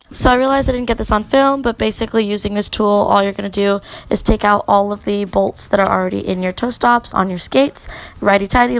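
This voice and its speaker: Young female vlogger, hoarse and gravelly, relaxed chatty tutorial read, mid-range pitch. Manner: chatty, relaxed, with many fillers. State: raspy from a sore throat. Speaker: skating vlogger. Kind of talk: casual how-to voiceover. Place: home at night.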